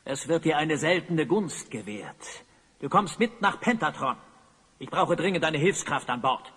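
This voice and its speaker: An actor playing a tyrannical, gloating evil sorcerer in an audio drama, pompous and commanding. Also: male voice, a little high, animated, a little slow, a bit bright, breathy edge, full character voice. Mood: menacing, haughty. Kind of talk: German audio drama acting. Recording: long cavernous reverb.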